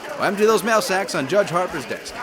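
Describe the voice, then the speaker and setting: A woman's voice: lowish voice, animated, brisk, a crowd in the background, noisy background, natural voice. A woman playing an earnest, confident male lawyer in a radio drama. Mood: confident, declamatory. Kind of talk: radio play acting.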